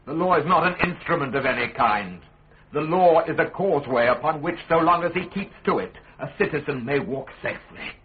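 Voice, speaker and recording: An actor with a British accent playing a principled, defiant prisoner in a radio courtroom drama, speaking in measured, lawyerly oratory. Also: male voice, fairly high pitch, lively intonation, phone-quality sound, lightly acted. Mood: measured, firm. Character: principled accused statesman, grave, eloquent, defiant prisoner.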